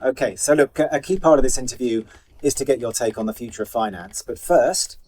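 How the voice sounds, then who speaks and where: Male interviewer, average-pitched, informal and conversational, feels his way into questions. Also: a little fast, darkish tone. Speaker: interview host.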